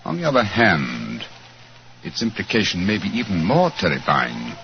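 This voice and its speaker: A keen, self-assured detective, laying out his reasoning crisply and precisely. His delivery rises and falls with lively expression. It is a 1940s radio drama recording, muffled and lo-fi with a faint hiss underneath. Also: sits low, normal speed. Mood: deducing. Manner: crisp, precise, lecturing deductions.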